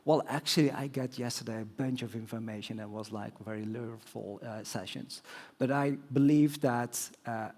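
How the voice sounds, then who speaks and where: Halting man answering on a conference panel. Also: mid-range voice, darkish tone. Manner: halting, many fillers and restarts, non-native phrasing. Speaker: telecom executive guest on panel. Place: conference stage.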